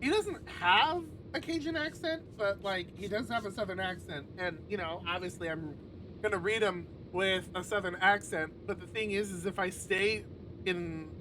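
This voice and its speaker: A man's voice: high voice, moderate pace. A man reading a visual novel aloud on a livestream and adding casual, sweary commentary. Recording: noisy livestream with clear background noise.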